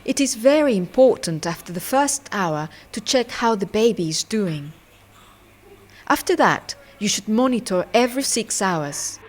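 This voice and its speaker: A woman's voice: mid-range voice, expressive, measured pace. Instructional woman narrator with faint background noise. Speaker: training film narrator and instructor.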